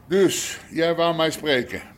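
Blunt underworld boss, lively pitch. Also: male voice, slow. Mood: wary, blunt. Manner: blunt, colloquial. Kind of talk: naturalistic Dutch radio drama acting. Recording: noisy ambience behind.

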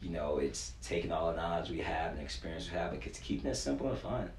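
A man's voice: sits low, animated, fast, creaky. A man in his twenties speaking casually and earnestly as a coach being interviewed, in informal, slangy language with plenty of "you know". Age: 20s. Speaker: youth sports performance coach, interview guest. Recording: echoey room, faint background noise.

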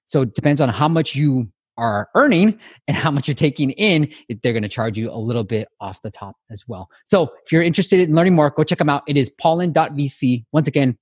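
A man's voice: mid-range voice, breathy edge, dry recording, studio quality. A man originally from Myanmar hosting a podcast, chatty and enthusiastic, with frequent use of "like". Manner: enthusiastic, chatty, uses "like" often. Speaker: podcast host. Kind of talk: casual podcast interview.

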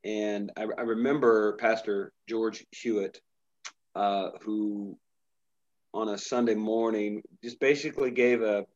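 Middle-aged male pastor, laid-back storyteller with lots of restarts, lower voice, muffled recording. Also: steady tone, slow, darkish tone. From Indiana, USA. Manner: rambling storyteller, frequent restarts. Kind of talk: casual interview conversation.

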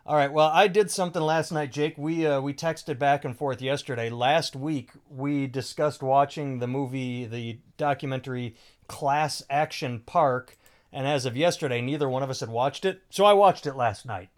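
A man's voice: medium pitch, normal speed. A middle-aged man hosting a podcast, rambling casually, swearing freely and restarting his sentences. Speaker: podcast host. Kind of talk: casual chat.

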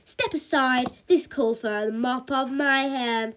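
A high-voiced, boastful superhero mum, acted out by a woman reader for a children's storybook. She makes bombastic, grand proclamations as a daring champion in costume. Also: slow. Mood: heroic.

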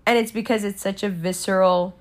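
A woman's voice: mid-range voice, steady tone, a little fast. A woman giving a candid personal monologue in a blunt, emphatic way, with frequent swearing. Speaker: podcast and channel host.

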